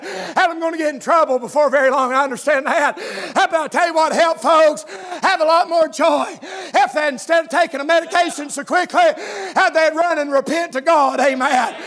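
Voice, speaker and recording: A man with a Southern US accent preaching a fervent sermon, often shouting and punctuating his phrases with exclaimed amens. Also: very high voice. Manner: forceful, often shouting delivery.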